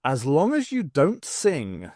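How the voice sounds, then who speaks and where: A man's voice: on the high side, wildly swooping pitch, slow pace, darkish tone, no echo, clean studio sound, heavily acted. A man voicing a wry, sceptical and bemused woman adventurer in an audiobook, with a questioning manner. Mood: resigned.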